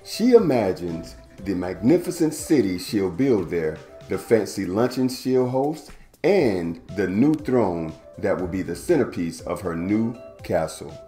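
Deep, animated man reading a picture book. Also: unhurried, faint music behind. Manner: animated, playful, stretches words for young listeners. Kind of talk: children's read-aloud. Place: indoor room at home.

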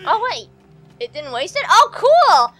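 Woman, high bright voice, a bit congested, rambling casual let's play chatter, faint game music behind. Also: big pitch swings, unhurried. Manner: rambling, self-chatting, giggly. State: congested from allergies. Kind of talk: casual gameplay commentary.

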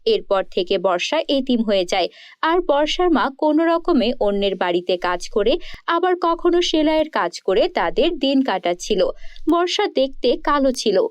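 A woman's voice: high voice, sing-song, normal speed, clean tone, no echo. A woman storyteller reading scripted narration for a children's story.

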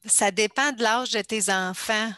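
A woman's voice: medium pitch, unhurried, sharp tone, no echo, clean studio sound. A woman with a Quebec French accent moderating an online meeting in an informal way. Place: indoors, on a video call. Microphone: computer mic on video call.

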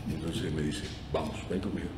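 A man's voice: a bass voice, steady tone, fairly quick. A middle-aged Cuban man with a Cuban Spanish accent, telling a story as a talk show guest and acting out the voices he quotes. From Cuba. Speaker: guest actor. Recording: roomy echo, distant mic, audible room noise.

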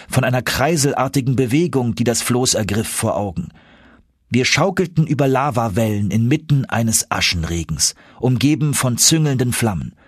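A man's voice: medium pitch, husky, no echo, clean studio sound. A man narrating an audiobook in a dramatic, scripted first-person storytelling style.

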